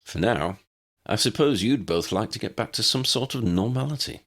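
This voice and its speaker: A man's voice: fairly low pitch, expressive, normal speed, fairly bright tone. A man voicing a confident, friendly adult wizard lawman in an audiobook, plain-spoken and dryly humorous. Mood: wry.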